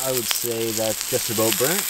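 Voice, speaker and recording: Laid-back dude cracking jokes by a campfire in the woods, camera a few feet off, noisy outdoor sound. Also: male voice, mid-range voice, little pitch movement, moderate pace. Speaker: outdoor vlogger. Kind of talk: casual camp cooking vlog. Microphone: camera a few feet away. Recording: outdoor recording with clear background noise.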